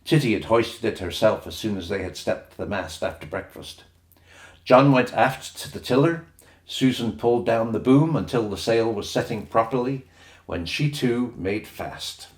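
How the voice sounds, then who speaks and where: A man's voice: fairly low pitch, moderate pace. A man reading a children's story aloud as an audiobook narrator, in scripted storybook style. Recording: roomy echoing sound.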